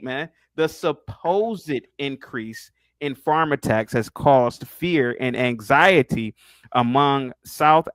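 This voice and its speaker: A man from Ohio with a slightly high voice and a teasing, mocking manner. He reads text out loud and keeps breaking off to needle his guest. The recording is dry and close, with no room sound. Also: no echo. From Ohio, United States. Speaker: stream host. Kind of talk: casual debate stream.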